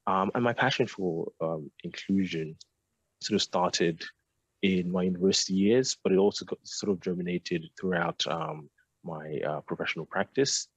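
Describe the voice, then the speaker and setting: A man's voice: deep voice, normal speed, breathy edge, no echo, muffled recording. A young man born in Nigeria and raised in London, an architect answering hesitantly over a video call. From Nigeria, raised in South London. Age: young adult. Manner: hesitant, many ums and restarts. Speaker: architect, guest. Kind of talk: remote interview answer. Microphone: headset on video call.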